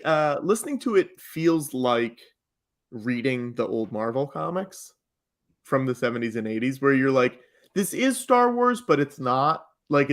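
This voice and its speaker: Casual man chatting on a podcast. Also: mid-range voice. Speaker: podcast guest and live improv comedy performer.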